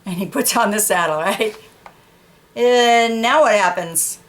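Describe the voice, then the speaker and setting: A woman's voice: mid-range voice, measured pace, a little hiss or hum. A woman reading a picture book to children, narrating informally with explanatory asides. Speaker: storytime reader.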